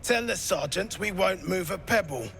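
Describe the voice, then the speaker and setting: Gravelly, high, jeering slaver bully. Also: male voice, animated, normal speed, a bit bright, a little hiss or hum, heavily acted. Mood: smug. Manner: coarse, jeering, swaggering. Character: crude, bullying, smug slaver in fantasy game.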